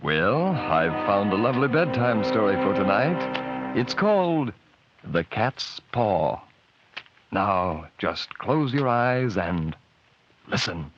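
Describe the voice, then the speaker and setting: A sly sinister bedtime storyteller. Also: male voice, on the low side, background noise. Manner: coaxing, sly, hushed. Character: sinister soft-spoken male horror storyteller. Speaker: horror show host. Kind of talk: 1940s radio acting. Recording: dull old radio recording with music.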